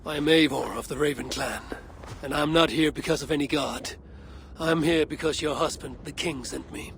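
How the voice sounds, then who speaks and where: Blunt, higher-pitched Viking warrior. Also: male voice, moderate pace, vocal fry, a bit bright, a little hiss or hum. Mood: blunt, urgent. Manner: terse, stoic, matter-of-fact. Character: blunt, skeptical Viking warrior of a raven clan. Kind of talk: dramatic video game cutscene acting.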